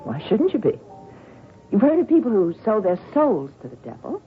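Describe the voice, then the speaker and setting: A woman's voice: mid-range voice, wildly swooping pitch, normal speed. An actress playing a despairing, worn-out female tennis champion, sardonic and bitter, in a radio drama. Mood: weary despair. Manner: sardonic, weary, dramatic swings into anguish. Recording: tinny phone-like old radio broadcast, music behind.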